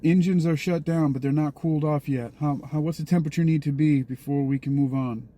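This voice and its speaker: A man playing an astronaut in mission dialogue, answering with measured, brief confirmations. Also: on the high side, fairly even intonation, mellow. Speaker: role-player acting as an astronaut. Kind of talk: improvised radio-style mission dialogue.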